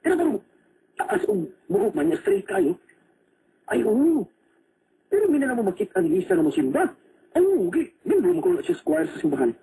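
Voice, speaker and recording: Lively seaman suitor, Cebuano accent, animated, slightly noisy radio drama. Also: high-pitched, brisk, pauses often. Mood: eager. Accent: Cebuano Filipino. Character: Rani, lively Filipino seaman, womanizer turned earnest suitor. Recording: faintly noisy radio broadcast.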